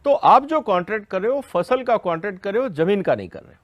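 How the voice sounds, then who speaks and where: Animated man explaining things step by step. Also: high voice, fast talker, dry recording, studio quality. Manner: didactic, step-by-step, with repeated check-ins. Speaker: expert explaining a law to farmers. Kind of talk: educational question-and-answer explanation.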